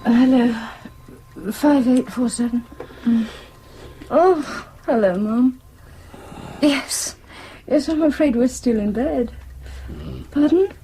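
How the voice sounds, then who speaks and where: An actress playing a concerned grown daughter on the phone in a radio drama, with a gentle, coaxing, halting manner. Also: female voice, sits high, a little hiss or hum, rough recording. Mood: sleepy, apologetic.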